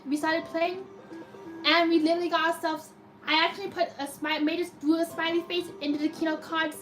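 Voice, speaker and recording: Woman streamer, high and robotic-sounding, chatty and excitable, faint music behind. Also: rough recording. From United States. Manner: chatty, excitable cheering at spins. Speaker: slot machine live streamer. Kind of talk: live stream commentary reading chat.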